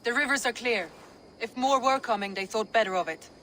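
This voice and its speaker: A cool, shrewd Viking warrior. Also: female voice, a little high, brisk, pushed and bright, a little hiss or hum. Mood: assured. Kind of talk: video game dramatic acting.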